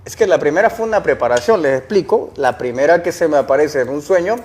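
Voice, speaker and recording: A man's voice: a little high, lively intonation, fast, darkish tone, a little hiss or hum. A young man, earnestly recounting his experiences as an interview guest and posing rhetorical questions. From El Salvador. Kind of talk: casual interview storytelling.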